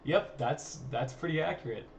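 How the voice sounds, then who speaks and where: A man's voice: on the high side, moderate pace, vocal fry. A man informally explaining research as a guest, in a modest, matter-of-fact way. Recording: distant mic, faint background noise.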